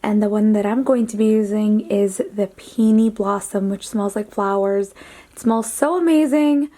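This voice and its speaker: A woman's voice: mid-range voice, moderate pace, mellow. A young woman, Spanish as her first language, chatting earnestly to camera about herself in a casual vlog, with frequent "like" fillers. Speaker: beauty vlogger.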